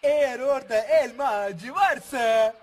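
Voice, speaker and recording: An actor voicing an excitable, childlike little boy calf in a children's puppet show, whiny, eager and exclamatory. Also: high voice, moderate pace, lightly acted. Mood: eager, curious.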